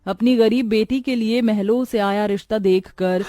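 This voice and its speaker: Mellow woman narrating a story, dry sound. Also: medium pitch, fairly even intonation, moderate pace, no room sound. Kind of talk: scripted moral story narration.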